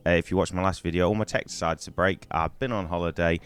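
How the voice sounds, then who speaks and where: A middle-aged man from Wales, hoarse from a cold, chatting to camera in a rambling way on a poor-quality microphone. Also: sits low, steady tone, quick pace, fairly bright tone, soft background music. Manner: rambling, chatty, many ums and restarts. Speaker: gaming YouTuber. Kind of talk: casual to-camera storytelling.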